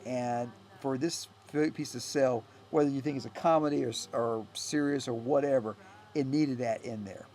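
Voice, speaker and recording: Creaky-voiced man giving loose film commentary. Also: mid-range voice, moderate pace, a little mellow. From United States. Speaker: filmmaker and actor giving director's commentary. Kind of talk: casual, unscripted director's commentary.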